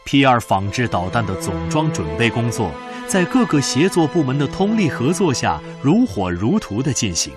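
A man's voice: mid-range voice, very animated, moderate pace, hardly any pauses. A man delivering solemn, declamatory scripted narration for a radio drama. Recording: music under the narration.

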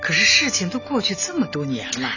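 A woman's voice: lowish voice, huge pitch swings, brisk. Kindly old lady party secretary, patient n coaxing, voice swings up and down, muffled w music behind. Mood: gently persuading. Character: kindly, persuasive elderly woman community party secretary. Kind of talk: Chinese radio drama acting.

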